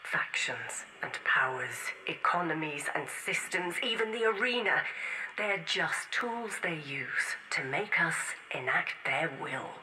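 A defiant rebel woman records her grave last testament for a science-fiction game audio log. Her voice is fairly low and tense with a bright, forceful edge, solemn and deliberate in delivery. Noticeable noise sits behind her words. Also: animated, full character voice, poor audio. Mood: grave, conspiratorial.

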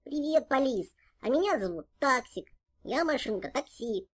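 A woman voices a friendly little taxi car, a boy character in a children's cartoon. The voice is fairly high and childlike, with an eager, simple delivery. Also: expressive, moderate pace.

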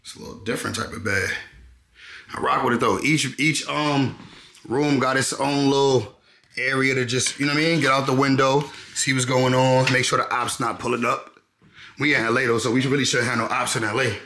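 A man's voice: medium pitch, fairly even intonation, fairly quick, pushed and bright. A young man in his twenties giving a casual, slangy walkthrough of a rental apartment, repeating his phrases and often asking whether listeners follow. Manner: laid-back, repeats phrases, keeps asking "you know what I'm saying". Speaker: vlogger giving an apartment tour. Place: rental apartment, indoors then rooftop. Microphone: handheld camera held close. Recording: echoey rooms with clear background noise.

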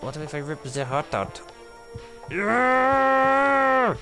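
A young man streaming a game, putting on a mock German-style accent that drifts into a made-up one, swearing and shouting in excitement. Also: very high voice, very slow, soft background music. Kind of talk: live let's-play commentary.

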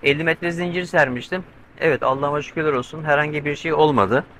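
A middle-aged man talks to a handheld camera from the covered cockpit of his sailboat at sea, explaining each step in a chatty way with lots of fillers. His voice sits a little high and sounds bright and crisp. He is tense from watching the weather, and a faint noise of wind and water runs behind him. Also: fast talker. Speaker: solo sailing vlogger. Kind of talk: casual vlog talk to camera. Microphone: handheld camera with mic on a pole.

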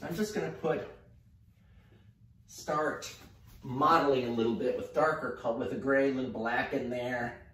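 Middle-aged man in a very echoey room. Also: medium pitch. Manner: relaxed, halting, thinking aloud while working. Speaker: mural painter presenting a tutorial. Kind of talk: casual painting demonstration. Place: indoor room, painting at a wall. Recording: echoey room, distant mic, faint noise.

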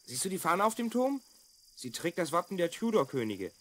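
High, lively, adventurous boy. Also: fairly quick, exaggerated voice. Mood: confident, eager. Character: confident, adventurous twelve-year-old boy time traveller from the future. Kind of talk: children's audio drama acting.

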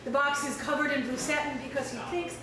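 High-voiced woman reading poems in an echoey cafe. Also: very noisy. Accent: American. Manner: slow, measured reading with chatty asides. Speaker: poet at an open mic. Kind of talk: poetry reading. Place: small cafe stage at night. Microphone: stand mic, camera from across the room. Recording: echoey room, distant camera mic, crowd. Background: audience in the cafe.

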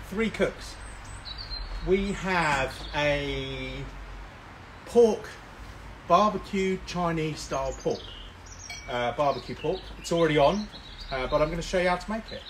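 A man's voice: a little high, slow, pauses often, fairly bright tone, rough recording. A middle-aged man with a cold, hosting a live barbecue cook outdoors in a cold garden, chatty and jokey, filmed on a handheld phone. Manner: chatty, jokey, rambling with ums. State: sniffly with a cold, coughing. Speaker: barbecue cooking host. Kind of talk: casual live cooking stream. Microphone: phone camera held by another person. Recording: outdoor background noise. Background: outdoor ambience.

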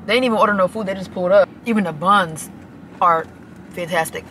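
A woman's voice: lowish voice, fast, a bit bright, slight background noise. A woman of twenty chatting to her phone camera from a parked car, gushing and casual, often saying y'all. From Michigan. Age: 20s. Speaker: vlogger.

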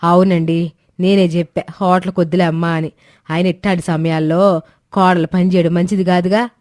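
A woman voicing a kindly, anxious older mother-in-law, soothing and pleading as peacemaker in an animated moral story. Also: lowish voice, normal speed, dry recording, studio quality, lightly acted.

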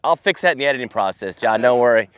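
A man's voice: mid-range voice, lively intonation, fast. A man hosting a casual fishing vlog from an open boat, talking with exclamations and self-deprecating banter. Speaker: fishing show host. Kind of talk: casual chat while fishing, excitable. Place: small open boat on a lake, outdoors. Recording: outdoor noise on an open boat.

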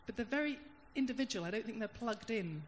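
A woman in the audience at a panel discussion, sharing thoughtful comments into a handheld microphone, pausing and restarting as she searches for words. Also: fairly high pitch, lively intonation. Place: large conference hall. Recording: hall reverb, faint room hum.

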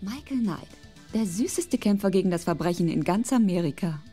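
An actress playing an adoring, teasing young doctoral student in a German audio play. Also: female voice, on the low side, animated, brisk, mellow, soft background music. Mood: teasing adoration.

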